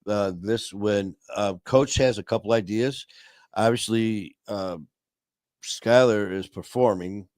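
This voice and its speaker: A man's voice: fairly low pitch, takes it slowly, a little mellow, no echo. Rambling man hosting a live stream. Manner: rambling with many fillers and restarts; friendly and upbeat, addressing viewers. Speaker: livestream host. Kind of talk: casual live-stream chat.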